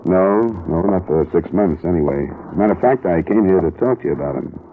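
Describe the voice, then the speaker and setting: An American actor playing a dogged, calm private investigator in a 1950s radio drama, terse and probing. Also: male voice, deep voice, a little fast, a little hiss or hum. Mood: easygoing, guarded. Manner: terse, probing, hard-boiled. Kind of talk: 1950s radio detective drama acting, first-person narration and dialogue. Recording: muffled, lo-fi old radio recording.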